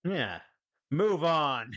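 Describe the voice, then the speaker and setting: A man's voice: on the high side, very animated, drawn-out words, a bit bright, dull audio. A man bantering casually as a player on a tabletop game podcast, with wry, dry humour.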